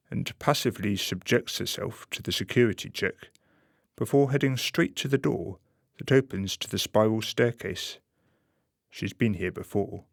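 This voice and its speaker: A man playing a sly, wry narrator of a satirical radio play, confiding in the listener in a deliberate way. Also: fairly low pitch, vocal fry, no echo, clean studio sound. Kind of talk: scripted dramatic narration.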